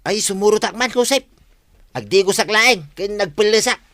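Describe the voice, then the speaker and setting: A man with a northern Filipino accent playing a henpecked middle-aged village husband, animated and complaining, in a comedic radio drama. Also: high voice, normal speed, no echo. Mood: eager.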